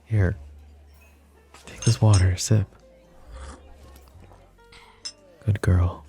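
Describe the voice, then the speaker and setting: Male VA as a possessive mafia boss, very deep and gravelly, soft intimate delivery, faint music underneath. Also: level tone, takes it slowly. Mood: teasing. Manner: soft, intimate, addresses listener directly. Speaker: ASMR roleplay voice actor. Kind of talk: boyfriend ASMR roleplay.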